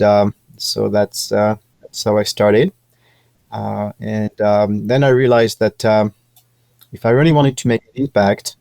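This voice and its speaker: A man's voice: lowish voice, creaky, darkish tone, no room sound. A man from France, speaking as a podcast guest in a hesitant way, with many fillers and restarts. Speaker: podcast guest, business executive. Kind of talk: casual interview talk.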